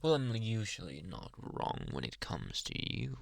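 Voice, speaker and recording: A man playing a possessive yandere psychiatrist in an ASMR roleplay, soft-spoken, polite and quietly menacing, with hushed, insinuating questions. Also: fairly low pitch, huge pitch swings, vocal fry, exaggerated voice. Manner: slow, hushed, insinuating, many pauses and questioning hums. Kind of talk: ASMR roleplay, close whispering.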